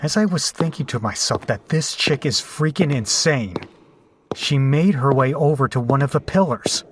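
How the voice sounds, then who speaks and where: A man's voice: mid-range voice, moderate pace, breathy edge, natural voice. A man playing a brash, rattled young rocker in a horror audio drama, colloquial, incredulous and foul-mouthed. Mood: shaken narration. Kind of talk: horror audio drama acting and first-person narration.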